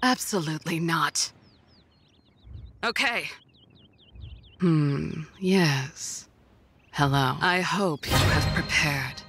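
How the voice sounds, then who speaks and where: A woman voicing a haughty, menacing sorceress in a video game, theatrical and breathy. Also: deep voice, a bit bright, slight background noise, exaggerated voice. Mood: cool disdain. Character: sinister, haughty dark sorceress with godlike contempt. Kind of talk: video game character voice lines.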